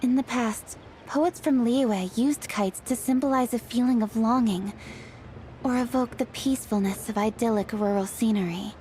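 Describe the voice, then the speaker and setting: An actress playing a composed, thoughtful young noblewoman in an anime-style game, speaking in a measured, formal way. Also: fairly high pitch, dark tone, slight background noise, natural voice, rough recording. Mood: earnest.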